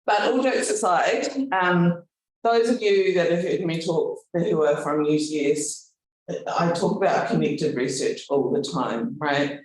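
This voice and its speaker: A man's voice: a little high, a little slow. A man with a New Zealand accent gives a formal launch speech as a university leader, earnest but slipping in light self-deprecating jokes. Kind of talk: formal welcome speech with jokey asides. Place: large event room with an audience. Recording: very echoey room, voice from far off.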